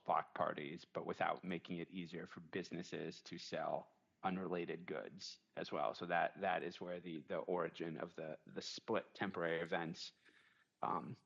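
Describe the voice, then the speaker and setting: A man's voice: sits low, a bit bright, dull audio. A man at a township meeting explaining the background of a rule, often restarting his sentences. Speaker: township supervisor.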